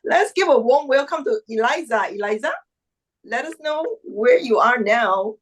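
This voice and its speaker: Brief: upbeat female host of an online club meeting, a touch high-pitched, video call. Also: expressive, moderate pace. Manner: upbeat, exclamatory. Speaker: meeting host introducing guests.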